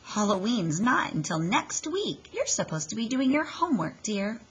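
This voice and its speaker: A woman voicing a fond mother frog in a storybook, motherly, teasing and gently scolding. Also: medium pitch, very animated, moderate pace, slightly rough, pitched above the performer's own voice.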